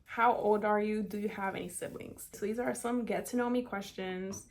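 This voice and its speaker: A woman's voice: mid-range voice, fairly even intonation, normal speed. A woman in her twenties from South Florida, chatting casually through a hair tutorial with many "like" fillers. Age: 20s. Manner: chatty, upbeat, lots of "like" and "kinda". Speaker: beauty vlogger.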